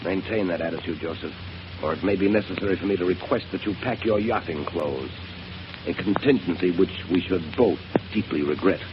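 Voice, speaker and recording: Man voicing a cold pirate mastermind, low voice, mocking politeness, 1930s radio play, muffled lo-fi broadcast, static hiss. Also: a little fast, music in the background, close to an everyday voice. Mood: gloating. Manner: smooth, coldly threatening, mocking politeness. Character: cold, menacing rich male pirate mastermind. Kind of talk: 1930s radio drama acting.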